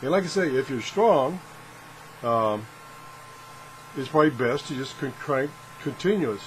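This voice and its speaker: A man's voice: mid-range voice, animated, measured pace, poor audio. Man thinking aloud over background noise. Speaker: host of a radio product test video. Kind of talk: casual unscripted product demonstration.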